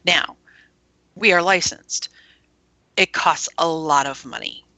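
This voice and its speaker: A woman who runs an internet radio station, chatting as a guest, animated and laughing often as she explains things. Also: low voice, slow, no echo. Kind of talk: casual interview chat.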